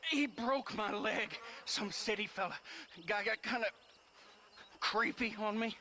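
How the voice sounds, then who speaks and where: A man's voice: high-pitched, measured pace, pushed and bright, a little hiss or hum, full character voice. An actor playing a creepy, pleading American backwoods man in a western video game, whining and agitated. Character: creepy, pleading backwoods cannibal feigning injury.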